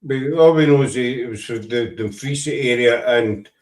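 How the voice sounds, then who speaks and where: A man from Scotland with a Scottish accent, chatting casually in a halting way, often repeating words, with a dry sense of humor. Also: mid-range voice, distant mic. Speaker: paranormal investigator. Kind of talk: casual chat.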